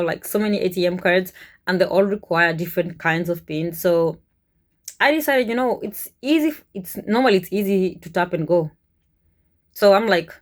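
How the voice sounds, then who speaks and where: A woman from Kenya with a Kenyan accent, telling a personal story to camera in a casual, animated way. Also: mid-range voice. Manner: animated, chuckles often, conversational. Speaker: vlogger, cruise ship worker.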